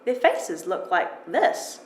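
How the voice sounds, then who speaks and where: A woman voicing a kind, curious foreign guest with an Arabic-tinged accent and a gentle, unhurried manner, in a storybook reading. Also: medium pitch, huge pitch swings. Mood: admiring, curious. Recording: roomy echo with background noise.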